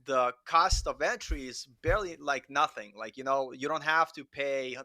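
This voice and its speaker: A man's voice: medium pitch, moderate pace, pushed and bright, dry recording. A middle-aged man talking informally and enthusiastically as a guest on a casual livestream, often saying "like" and "you know". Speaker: guest developer and content creator.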